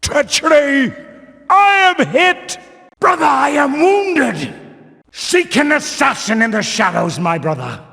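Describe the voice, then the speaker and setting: An actor playing a stern, pious medieval temple guard in a video game, speaking in pompous, archaic, theatrical phrases. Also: male voice, very high voice, very animated, slow pace, creaky, pushed and bright, full character voice. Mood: outraged. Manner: archaic pompous speech, declaiming. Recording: long cavernous reverb.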